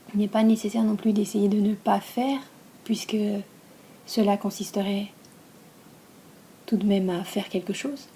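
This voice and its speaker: A woman with a French accent from France leads a guided relaxation as a yoga nidra teacher, speaking softly, breathily and calmly, with long pauses between phrases. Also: medium pitch, slight background noise. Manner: soft, breathy, calm and soothing delivery.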